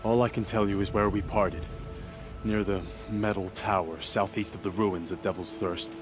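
Male actor as a wounded warrior, earnest, low voice, game cutscene. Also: fairly even intonation, normal speed, music in the background, natural voice. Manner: earnest, urgent, solemn.

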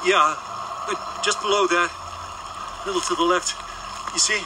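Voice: mid-range voice, fairly even intonation, measured pace, background noise, natural voice. Someone as a nervous, hesitant companion. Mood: uneasy. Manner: uneasy, hesitant.

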